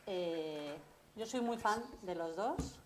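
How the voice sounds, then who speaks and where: A woman moderator leads a casual festival panel chat, eager and talkative, stumbling over her restarts. Her voice sits a little low. The echoey room carries a faint hum of background noise. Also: expressive, takes it slowly, darkish tone. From Madrid. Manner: chatty, enthusiastic, many restarts. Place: festival stage.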